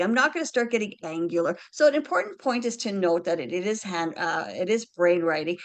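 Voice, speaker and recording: A woman's voice: on the low side, fairly bright tone. A woman, a Slovene speaker, presenting handwriting analysis to a group over a video call in a chatty, explanatory way, often checking in with "right?" and "alrighty".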